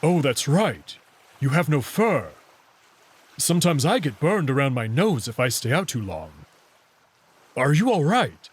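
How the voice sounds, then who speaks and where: A man's voice: a little high, big pitch swings, unhurried, pauses often, slightly rough, full character voice. A man voicing a big, proud, teasing young male wolf in a visual novel. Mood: concerned. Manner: teasing, confident. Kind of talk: visual novel character voice acting.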